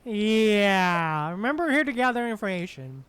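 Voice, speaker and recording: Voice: medium pitch, sing-song, normal speed, a bit bright, clean tone, dry recording. A game streamer giving casual commentary while playing. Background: game music.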